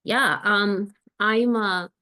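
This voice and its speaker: A woman's voice: mid-range voice, drawn-out words, pushed and bright. A young woman from the Dominican Republic answering on a panel, thoughtful and hesitant. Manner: thoughtful, hesitant, many fillers.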